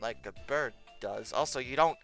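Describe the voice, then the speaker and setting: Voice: mid-range voice, normal speed, creaky, a bit bright, soft background music. A commentator reacting aloud in an incredulous, sarcastic, exasperated way, with emphatic delivery. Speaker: video host. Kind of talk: reacting commentary, reading old text aloud and mocking it.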